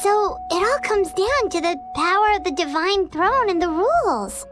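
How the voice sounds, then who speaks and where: A squeaky, childlike little fairy companion. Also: female voice, animated, normal speed, fairly bright tone. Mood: curious. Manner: refers to herself in third person, blurts things out. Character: small childlike fairy companion, chatty, curious, naive, excitable. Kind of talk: video game character dialogue. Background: music.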